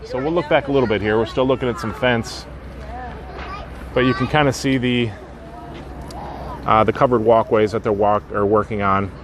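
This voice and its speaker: A man's voice: medium pitch, fairly even intonation, moderate pace, pauses often, noisy background. A man doing a casual live-stream walking tour of a theme park, greeting viewers by name with lots of fillers, a bit sniffly from a sinus infection. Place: outdoors at a theme park walkway.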